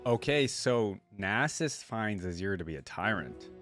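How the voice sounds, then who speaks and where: A man's voice: lowish voice, expressive, a little slow, loud music behind the voice. A man hosting a reaction video, musing aloud in informal, casual commentary.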